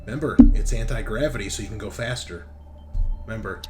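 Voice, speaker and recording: A man's voice: on the low side, quick pace, loud music behind the voice. A man running a tabletop roleplaying game as game master, narrating casually and bantering with plenty of swearing.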